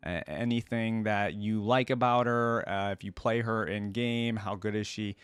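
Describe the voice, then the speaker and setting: A man with a fairly low, clear voice gives casual commentary as a video host. He rambles conversationally, working through his thoughts aloud. The sound is dry, with very little room in it. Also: steady tone, normal speed, dry recording. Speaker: YouTube reaction host. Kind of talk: casual reaction commentary to camera.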